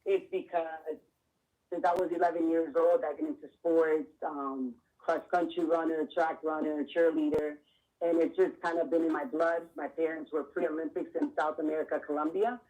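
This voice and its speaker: A woman's voice: low-pitched, fairly even intonation, normal speed, phone-quality sound. A woman from Miami, probably in her thirties, earnestly explaining her clothing line as an interview guest, with some fillers. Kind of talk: casual interview answers.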